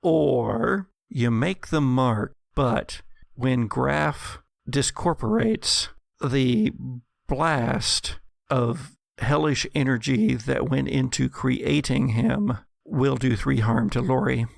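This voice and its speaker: A man narrating a tabletop horror game as game master, with dramatic, deliberate storytelling addressed to the players. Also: mid-range voice, gravelly, no room sound.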